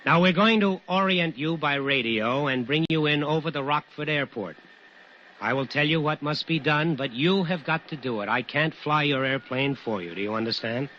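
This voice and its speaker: An actor playing an earnest, commanding air traffic controller under pressure in an old-time radio drama, speaking firmly and deliberately. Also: male voice, a little high, bright, forceful tone. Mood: firm, grave. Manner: deliberate, firm, instructing. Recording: noisy, muffled old radio recording.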